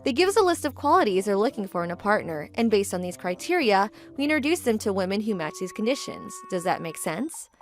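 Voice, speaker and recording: A woman's voice: medium pitch, big pitch swings, fast, few pauses. A woman playing a composed, forward young businesswoman in a manga dub, speaking politely and matter-of-factly. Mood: businesslike. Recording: background music under the voice.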